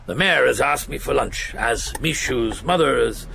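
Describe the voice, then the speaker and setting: An actor playing a shrewd male chief inspector in a radio drama, with a gravelly husky voice and measured, dry delivery. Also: medium pitch, pushed and bright, slight background noise, full character voice. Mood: brisk, kindly.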